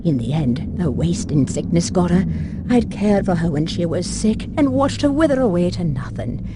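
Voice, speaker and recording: A woman's voice: fairly low pitch, very animated, normal speed, very noisy. An actress playing a motherly, gossipy older servant woman, speaking wistfully in old-fashioned phrases in a game cutscene. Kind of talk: dramatic game cutscene acting.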